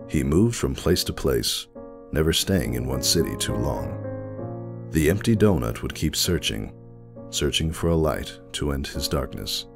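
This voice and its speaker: A man's voice: very deep voice, lively intonation, unhurried, creaky, music in the background. A man reading scripted narration as a storyteller. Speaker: narrator of fan fiction.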